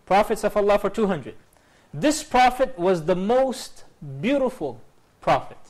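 Quiz show guy in a tv studio, bit high voice, lapel mic, upbeat. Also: very animated, a little slow, darkish tone, low-quality recording. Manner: upbeat, formal greetings, reads clues aloud. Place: TV studio set.

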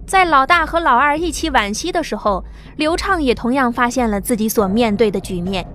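A woman narrating an audio drama in a steady storytelling manner. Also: fairly high pitch, brisk, soft background music.

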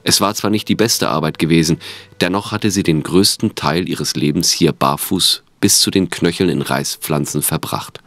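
Male narrator, very low voice, dry close recording, scripted storytelling. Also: normal speed, fairly bright tone, no echo. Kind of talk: scripted audio drama narration.

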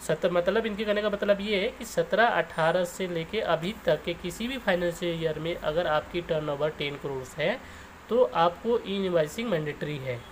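Guy doing an explainer video, kinda high voice, bit of noise behind him, goes thru stuff step by step. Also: normal speed, low-quality recording. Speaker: YouTube explainer host. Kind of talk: informal explainer tutorial.

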